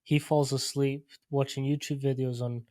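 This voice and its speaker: A man hosts a relaxed podcast conversation, speaking at a middle pitch with little rise and fall. His talk is loose and informal, full of fillers, and the recording is dry and close with no room sound. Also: normal speed, dry recording. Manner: relaxed, leans on "like" and "sort of". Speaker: podcast host. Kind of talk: casual podcast interview.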